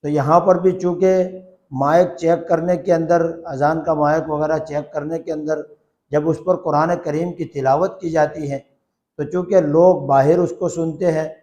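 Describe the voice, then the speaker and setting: Higher-pitched man giving a religious lesson. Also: darkish tone. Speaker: Islamic cleric answering a religious question. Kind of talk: religious lesson, formal explanatory address.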